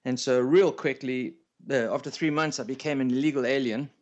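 A man's voice: mid-range voice, steady tone, brisk, darkish tone. South African man, slightly muffled sound. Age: 50s. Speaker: radio show guest, entrepreneur. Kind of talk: casual interview storytelling. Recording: slightly dull remote radio connection.

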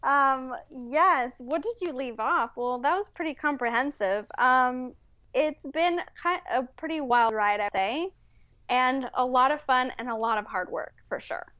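A woman's voice: high voice, a little slow, bright, forceful tone, dry recording. A woman from Mexico City whose first language is Spanish, chatting upbeat and friendly as an interview guest, with frequent ums and likes. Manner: friendly, upbeat, uses like and um often. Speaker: podcast guest, entrepreneur and psychotherapist. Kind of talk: casual interview chat.

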